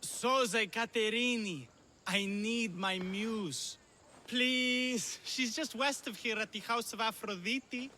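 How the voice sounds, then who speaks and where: An actor playing a drunk, lovesick, theatrical stage performer in a video game cutscene, with a flamboyant, tipsy manner. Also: male voice, high voice, slow pace, bright, forceful tone, slight background noise, full character voice. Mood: dreamy, pleading.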